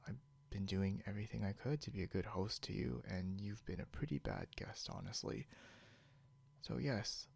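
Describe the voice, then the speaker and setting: A man playing a possessive, snarky, non-human captor in an ASMR roleplay, speaking softly and deliberately, often close to a whisper, with smug condescension. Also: on the low side, exaggerated voice. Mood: stern, irritated. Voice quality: soft, breathy, often near whisper. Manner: smug, teasing, slow and deliberate.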